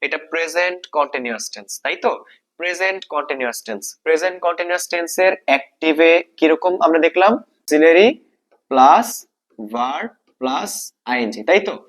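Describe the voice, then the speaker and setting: A man's voice: fairly high pitch, animated, fairly quick, fairly bright tone. A man with a Bangladeshi accent teaching an exam-prep lesson, explaining step by step, repeating points and asking check questions. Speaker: English grammar teacher.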